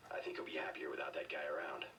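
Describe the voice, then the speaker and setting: An actor playing a calm, gruff secret agent in a video game, speaking in a terse, deadpan way. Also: male voice, a bass voice, racing, far from the mic, slight background noise, exaggerated voice.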